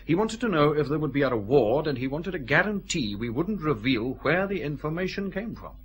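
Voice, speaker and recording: An actor playing a cautious, fussy customs official, hesitant and precise, in a radio drama. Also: male voice, fairly high pitch, sing-song, brisk. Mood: hesitant, confiding.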